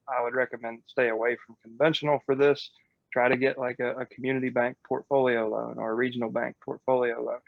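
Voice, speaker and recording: A man's voice: medium pitch, gravelly, dry recording, dull audio. A man giving casual, blunt coaching as a real estate podcast host, explaining step by step in informal, mildly profane language.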